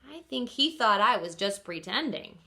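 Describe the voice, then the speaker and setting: A woman reading a picture book aloud expressively as a teacher does for children, pausing to ask simple questions. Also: medium pitch, sing-song, creaky, pushed and bright. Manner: pauses to quiz listeners with simple questions.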